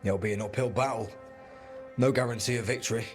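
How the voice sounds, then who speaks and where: A man's voice: medium pitch, steady tone, fairly quick, faint music behind, lightly acted. A man playing a blunt, streetwise resistance fighter in a video game, plain-spoken and sardonic. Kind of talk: video game character dialogue.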